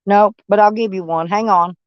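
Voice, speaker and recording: Live-stream host: a blunt, folksy Texas-accented woman, smooth voice, dull lo-fi audio. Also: mid-range voice, steady tone, normal speed, no echo. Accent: Texan. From Kentucky, USA. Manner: rambling, folksy, blunt and swearing. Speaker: livestream host. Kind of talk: casual live-stream chat with viewers.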